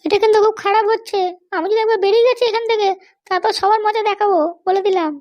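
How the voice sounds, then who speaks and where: A woman's voice: very high-pitched, steady tone, fast, lo-fi sound. A woman voicing an arrogant, scolding madam behind bars in an amateur comedy cartoon. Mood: threatening, bossy. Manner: bossy, scolding. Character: arrogant, threatening jailed madam demanding luxuries and release.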